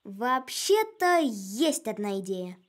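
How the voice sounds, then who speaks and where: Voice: medium pitch, big pitch swings, slow pace, very noisy. A performer voicing a thoughtful young boy with an earnest, mildly reproving manner in a children's cartoon. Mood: concerned. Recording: loud music behind.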